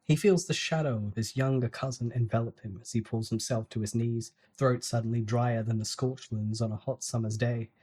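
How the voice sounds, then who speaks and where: A story narrator with a medium-pitched voice. The delivery is scripted audiobook narration, read as a dramatic novel performance. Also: fairly even intonation, moderate pace, hardly any pauses, dark tone, slightly rough.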